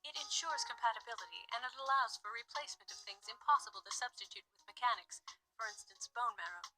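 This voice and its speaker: A woman voicing a guarded, formal wife in a murder mystery visual novel, with measured, precise speech. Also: on the high side, over music, lightly acted, low-quality recording. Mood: measured, explanatory.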